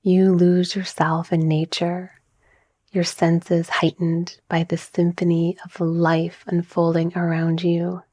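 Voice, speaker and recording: Soft, slow, hushed woman narrating relaxation. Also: fairly low pitch, completely flat delivery, dry recording. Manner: soft, breathy, soothing, gently measured delivery. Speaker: guided meditation narrator, mindset coach. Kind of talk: calming guided visualization, scripted relaxation narration.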